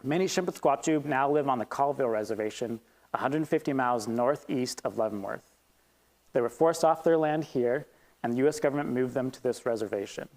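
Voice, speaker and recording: A man's voice: medium pitch, a little fast, darkish tone. A man hosting a community event, reading a prepared statement, then introducing the speaker with a few ums. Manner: reads steadily, then loosens with ums. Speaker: event host. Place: event hall with audience.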